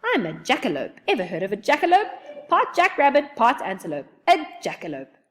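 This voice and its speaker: A woman voicing a hyperactive young female jackalope in a storybook, firing off rapid, repetitive questions. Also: a little high, wildly swooping pitch, voice pushed up. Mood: proud, chatty.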